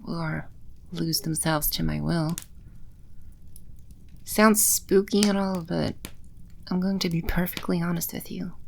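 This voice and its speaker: Woman voicing Scarlet, a slow, creaky demon empress. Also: on the low side, exaggerated voice. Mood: ominous. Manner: unhurried, coy, teasing, confident. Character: playful, teasing demon empress of the underworld, female. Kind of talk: ASMR audio roleplay, spoken close to the listener.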